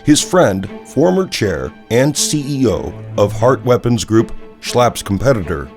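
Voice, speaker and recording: An actor playing a serious, polished television newsmagazine anchor, narrating with measured, dramatic emphasis. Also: male voice, mid-range voice, animated, darkish tone, soft background music. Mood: grave, measured. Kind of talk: scripted broadcast news narration.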